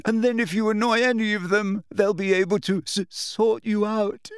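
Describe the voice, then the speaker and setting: A man's voice: big pitch swings, slow, dry recording, studio quality, heavily acted. A man voicing a teenage girl who puts on a desperate, tearful confession, stammering and wailing. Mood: shrill, defiant sobbing. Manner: stammering, gulping, shrill wailing.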